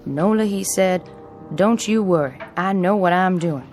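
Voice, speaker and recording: Actress as a wary, folksy housemaid. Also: female voice, fairly low pitch, measured pace, darkish tone, faint music behind, lightly acted. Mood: wary, worried. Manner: folksy, cautionary. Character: wary, superstitious household maid in New Orleans. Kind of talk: radio drama acting.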